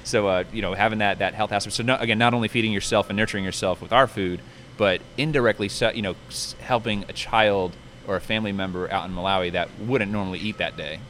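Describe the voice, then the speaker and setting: Male restaurant manager, lowish voice, brisk rambling interview chat, slight background noise. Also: fairly even intonation, fairly bright tone. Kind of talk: casual promotional interview chat. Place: inside a pizza restaurant.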